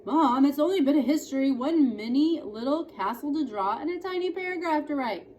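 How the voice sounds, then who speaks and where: A woman's voice: high voice, animated, brisk, darkish tone, muffled recording, pitched above the performer's own voice. A woman voicing a whiny, insistent boy pleading his case in a storybook. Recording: clearly noisy room recording.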